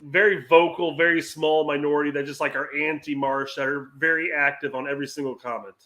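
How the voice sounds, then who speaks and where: A man's voice: fairly high pitch, steady tone, brisk, pushed and bright. A man with an American Midwest accent, co-hosting a casual chat in a laid-back way. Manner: laid-back, laughs along. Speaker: podcast co-host.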